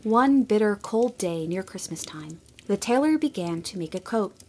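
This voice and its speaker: A woman's voice: mid-range voice, lively intonation. Woman narrating a storybook tale. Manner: measured, gentle storytelling.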